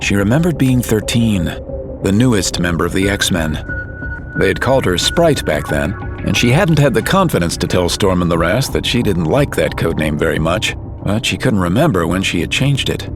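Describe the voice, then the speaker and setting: Deep-voiced male narrator over music. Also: very animated, normal speed. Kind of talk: scripted audiobook narration.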